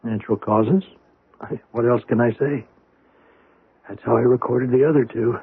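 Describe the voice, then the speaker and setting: An American actor playing a sober, skeptical doctor in a radio drama, measured and guarded. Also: male voice, medium pitch, fairly even intonation, husky, dry recording. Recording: muffled, boxy old radio broadcast.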